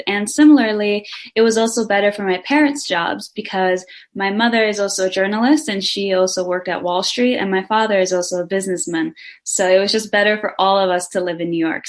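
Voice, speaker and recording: A young woman from Denmark, a singer and actress, answering interview questions eagerly and running her sentences together. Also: mid-range voice.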